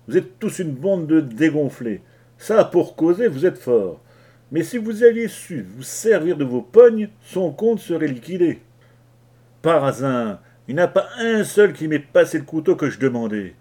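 An angry young sailor, acted out by a man reading aloud, shouting down his crewmates. His voice climbs higher and louder than the narration, full of scorn. It sounds like a storybook reading with a raised, harsh edge. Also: slightly rough. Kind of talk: audiobook character reading.

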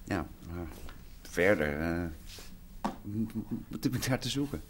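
An actor playing a sceptical, sardonic young squatter in a Dutch radio drama, sometimes hesitant. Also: male voice, sits low, lively intonation, unhurried, loud music behind the voice. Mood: shy, reluctant.

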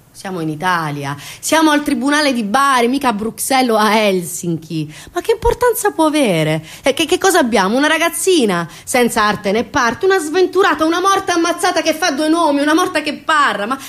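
Female stage monologue, an indignant, bitter storyteller: high-voiced, highly animated, sarcastic, theatrical. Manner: sarcastic, dramatic, swinging from hushed to loud. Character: bitter, indignant woman storyteller recounting an injustice. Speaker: stage actress performing a monologue. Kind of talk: impassioned theatrical narrative monologue.

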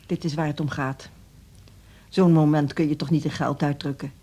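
Mellow, fervent music teacher Gerda. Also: female voice, low voice, fairly even intonation, normal speed, husky. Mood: earnest, insistent. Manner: fierce, indignant, insistent. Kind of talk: radio drama dialogue.

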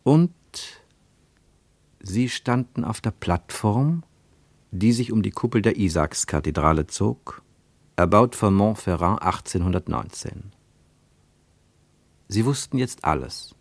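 An actor as the narrator of a literary radio travel report, with formal diction and an emphatic, measured way of telling. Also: male voice, on the low side, lively intonation, no echo, exaggerated voice. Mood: emphatic narration.

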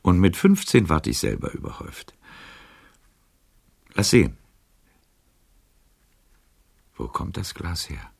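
An actor playing a frail, wry, world-weary old gentleman in a poetic radio drama, halting and often near a whisper. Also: low voice, sing-song, vocal fry, husky, no echo, clean studio sound, full character voice. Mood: amused, teasing. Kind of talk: German radio drama acting, poetic verse.

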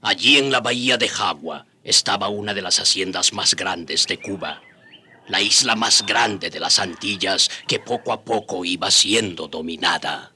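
A man's voice: low-pitched, moderate pace, sharp tone, slight background noise. An actor playing an eyewitness storyteller, narrating his memories for a radio drama. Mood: reminiscent. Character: reminiscing storyteller, an eyewitness of colonial Cuba.

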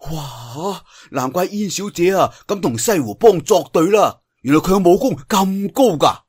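A man plays a young Cantonese-speaking swordsman from a wuxia audiobook, curious and easily amazed. His fairly high, slightly husky voice swings up into questions and exclamations. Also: lively intonation, moderate pace, darkish tone.

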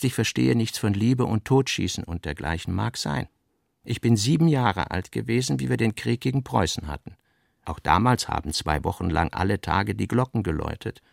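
A man's voice: fairly low pitch, creaky, dry recording, studio quality, heavily acted. A male narrator voicing an earnest middle-aged businessman father, who argues insistently and rhetorically with his daughter in a literary radio drama. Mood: questioning.

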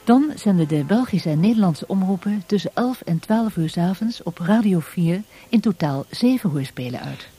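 Low, mellow female radio announcer. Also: lively intonation, normal speed, hardly any pauses. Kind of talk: scripted promotional narration.

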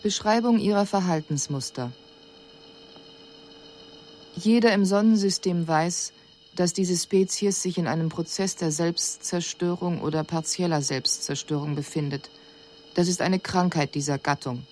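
A lowish female voice, an actress as an alien observer coolly dissecting another species. She speaks in a measured, didactic and formal way, in a radio drama with faint noise behind her. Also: darkish tone, lightly acted. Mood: cool, explanatory. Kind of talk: scripted radio drama report.

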